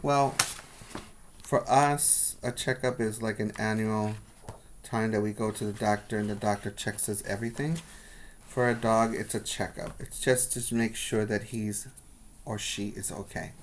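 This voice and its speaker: A man reading aloud to young children as their teacher, pausing to explain and put questions to his listeners. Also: on the low side, fairly even intonation, slight background noise. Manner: instructional, asking the listeners questions.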